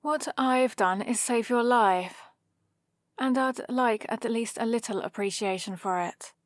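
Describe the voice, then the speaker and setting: Woman playing a slow-talking, haughty British villainess. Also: on the high side, no room sound, lightly acted. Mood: teasing, imperious. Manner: dry, sardonic, imperious. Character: haughty female supervillain, teasing, commanding, grudgingly caring. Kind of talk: ASMR roleplay, acted monologue to the listener. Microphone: close microphone, dry.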